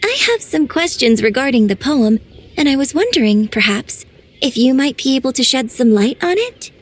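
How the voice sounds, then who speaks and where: An actress playing a polite, composed young woman in a video game, who speaks in a formal and measured way. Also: high-pitched, big pitch swings. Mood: courteous.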